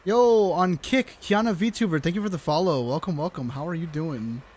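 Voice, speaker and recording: A woman's voice: fairly low pitch, normal speed, darkish tone, a little hiss or hum. A woman livestreamer giving casual, informal game commentary, chatting to viewers and swearing now and then.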